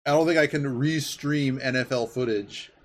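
A man's voice: medium pitch, normal speed. A man hosting a podcast, chatting casually about sports in a rambling, enthusiastic way. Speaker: podcast host. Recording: clearly audible background noise.

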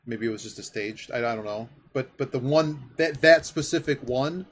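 A male podcast host talks sports in a casual, enthusiastic ramble. His voice sits at an average pitch for a man, with noticeable noise behind it. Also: normal speed. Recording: clearly audible background noise.

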